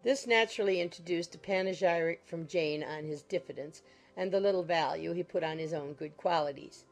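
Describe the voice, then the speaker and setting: A woman's voice: fairly low pitch, brisk. A woman reading scripted narration of a classic novel as an audiobook narrator. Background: music.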